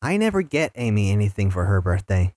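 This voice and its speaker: A man voicing an excitable, cocky young cartoon hedgehog in a fan audio drama, boastful and eager. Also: fairly low pitch, brisk, no room sound, studio quality. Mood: earnest.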